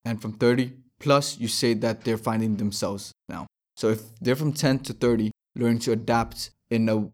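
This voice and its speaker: A man's voice: on the low side, a little mellow. A man in his twenties from Denmark, a startup founder, explaining his views step by step as a guest in an interview. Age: 20s.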